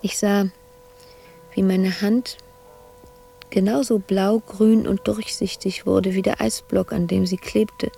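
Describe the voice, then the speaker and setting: Tormented sculptress in an asylum, radio play: dark, creaky voice, intimate, breathy, haunted monologue. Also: female voice, mid-range voice, fairly even intonation, slow, long pauses, soft background music. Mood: hushed, haunted. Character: anguished woman sculptor confined in an asylum, haunted, brooding.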